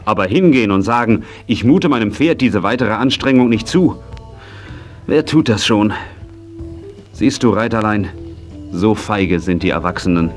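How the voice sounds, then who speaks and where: An actor playing a kindly, wistful riding teacher in a children's audio drama. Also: male voice, lowish voice, lively intonation, moderate pace, pauses often, soft background music, lightly acted.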